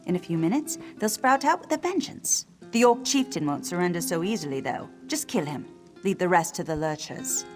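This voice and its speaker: A woman performs a sardonic wood elf leader in a fantasy video game. She sounds haughty and dismissive, with a slightly low voice. Light music plays underneath. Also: expressive, brisk, lightly acted. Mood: commanding, wary. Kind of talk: video game character acting. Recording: music behind the voice.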